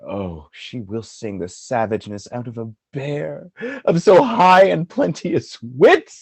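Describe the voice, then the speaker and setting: Male actor as jealous military husband, Shakespearean radio play: slow, husky, wide swings from brooding to outcry. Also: mid-range voice, expressive, a little mellow, dry recording, exaggerated voice. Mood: bitter fury. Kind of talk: Shakespearean radio drama acting.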